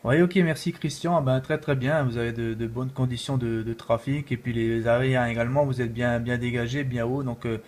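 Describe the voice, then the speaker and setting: A man's voice: medium pitch, steady tone, a little fast, hardly any pauses, darkish tone. A man chatting casually as an amateur radio operator, rambling along with repeated filler tags. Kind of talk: casual radio contact chat.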